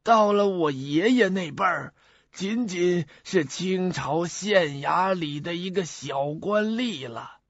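A man playing a chatty, boastful old theater odd-jobber with a husky, creaky voice and folksy manner in a radio drama. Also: sits high, animated, takes it slowly, fairly bright tone, no echo, heavily acted. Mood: proud. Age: older. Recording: muffled lo-fi audio with faint music.

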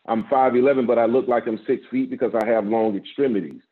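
Man, 50s, pastor, sermon, strong voice, middle pitch, narrow tinny video-call audio. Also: steady tone. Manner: measured preaching, rising with emphasis, repeats words. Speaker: guest pastor. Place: office with framed certificates, indoors. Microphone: video call, laptop camera.

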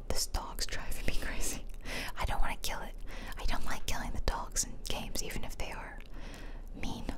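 A woman giving whispered ASMR game commentary with playful, casual asides, recorded close to the microphone. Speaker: gaming streamer. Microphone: close ASMR microphone, ear to ear.

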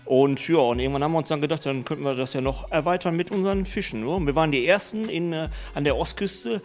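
A middle-aged man and spa owner answering interview questions in a brisk, colloquial way, speaking into a handheld mic. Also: on the high side, soft background music. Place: indoor spa studio. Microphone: handheld mic held by host.

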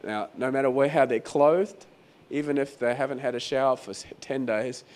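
A man preaching a sermon as pastor in a croaky, hoarse voice, talking conversationally and repeating phrases for emphasis. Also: mid-range voice, normal speed, a little mellow. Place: venue hall during a church service.

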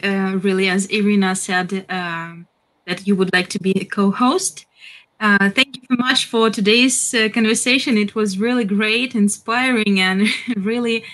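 A young woman with a mid-pitched voice co-hosts over a video call. She speaks in halting, friendly phrases with small pauses. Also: poor audio. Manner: warm, halting, with small laughs. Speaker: co-host. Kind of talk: closing thanks to a guest. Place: home office.

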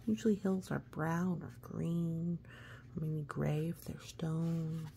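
A woman reading a children's storybook aloud expressively, with gentle asides and hushed, suspenseful phrasing. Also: low-pitched, slow pace, vocal fry, a little hiss or hum. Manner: expressive, hushed suspense, often dropping to a whisper. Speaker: storybook reader.

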